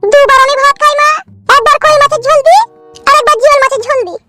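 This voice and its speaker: A nosy older cartoon auntie chatters out frank advice in a rural West Bengal accent. The voice is pitched up extremely high and tiny, over prominent music. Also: male voice, steady tone, fast talker. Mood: chatty, confiding. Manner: chattering, preachy, earthy. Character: bossy older village aunt, nosy, frank, full of advice. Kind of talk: comic cartoon dialogue.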